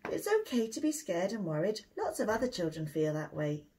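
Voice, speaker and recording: Gentle, encouraging storybook mum, read by a woman. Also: lowish voice, big pitch swings, a little fast, slight background noise. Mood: soothing. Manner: soothing and encouraging. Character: gentle, reassuring mother of a young boy.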